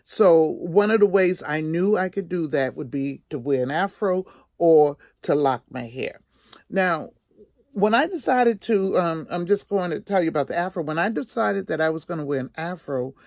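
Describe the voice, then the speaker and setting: A woman in her sixties telling a personal story in a casual, chatty vlog style, animated and emphatic, often repeating herself for effect. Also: lowish voice, unhurried, vocal fry, dry recording, clean studio sound. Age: 60s.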